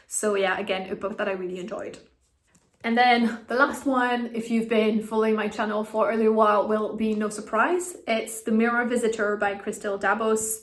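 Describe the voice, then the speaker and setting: A woman's voice: mid-range voice, echoey room. A woman, chatting casually about her favorite books, enthusiastic and prone to repeating words. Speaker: book vlogger. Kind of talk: casual book review vlog.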